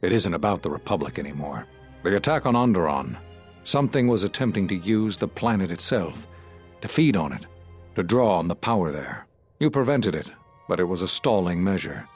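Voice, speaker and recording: A man playing an earnest, solemn Jedi master in a video game, husky and measured as he explains. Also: sits low, sing-song, soft background music, heavily acted. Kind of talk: video game dramatic acting.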